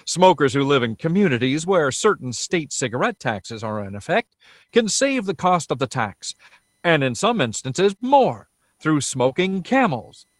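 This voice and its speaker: An American man announcing on vintage radio. His voice is fairly high and lively, with polished, emphatic scripted delivery and a very dry sound. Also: no echo. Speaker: announcer and narrator. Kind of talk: 1940s radio ad and scripted narration.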